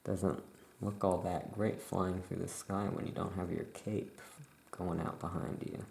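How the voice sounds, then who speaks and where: A soft-spoken ASMR performer playing a laid-back witch shopkeeper, musing aloud in an unhurried, creaky voice. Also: low voice, little pitch movement. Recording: faint background noise.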